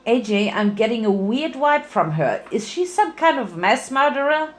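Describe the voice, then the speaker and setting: A woman's voice: fairly high pitch, sing-song, normal speed, pitched above the performer's own voice. A woman voicing a small, uneasy young male baby dragon with a boyish, questioning manner.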